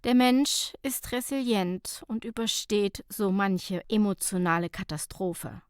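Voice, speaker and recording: A woman's voice: mid-range voice, measured pace, clean tone, no room sound, studio quality. A woman narrating a light, humorous short story as an audiobook reader, in a scripted reading style.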